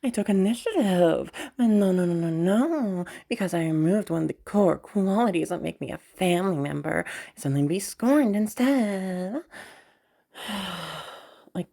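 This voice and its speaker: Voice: medium pitch, mellow. A performer voicing a proud, frustrated young woman inventor out hunting, muttering to herself with sighs and swearing in a dramatic audio roleplay. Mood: indignant. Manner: muttering to self, sighing, sweary self-argument.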